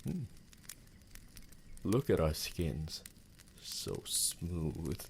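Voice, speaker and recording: Male actor as immortal vampire boyfriend, extremely low voice, unhurried, tender, possessive, formal ASMR roleplay, faint background noise. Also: very animated, creaky, exaggerated voice. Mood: adoring. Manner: slow, formal, tender, theatrical endearments.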